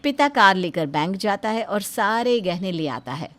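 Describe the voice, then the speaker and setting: A woman's voice: medium pitch, expressive, normal speed, a bit bright. A woman narrator telling a scripted domestic moral tale in a dramatic storytelling style.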